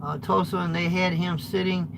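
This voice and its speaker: An American man with a high-pitched voice, a trucker vlogger chatting live with viewers from his truck cab in a blunt, rambling way. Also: little pitch movement, moderate pace, gravelly, background noise. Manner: rambling, blunt, restarts often. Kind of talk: live-streamed chat with viewers.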